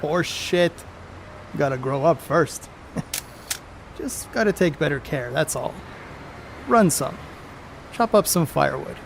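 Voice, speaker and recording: A crude, boastful middle-aged baseball star acted by a man in a period stage play. His voice sits high and swings freely as he tosses out cocky slang. The recording has clear background noise. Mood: defensive bluster. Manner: cocky, slangy, coarse-mouthed. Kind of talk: stage play acting, 1930s American banter.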